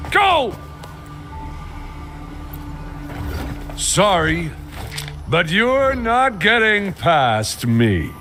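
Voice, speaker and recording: Male game villain: slow, swooping, mocking and menacing, loud music behind. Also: high voice, exaggerated voice. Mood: excited, commanding. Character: gloating theatrical male villain, taunting and menacing. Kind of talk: dramatic video game voice acting.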